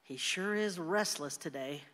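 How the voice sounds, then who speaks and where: A woman's voice: on the low side, a little slow, a bit bright. An actress in a radio play playing a fretful older mother at home. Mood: worried. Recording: long hall reverb.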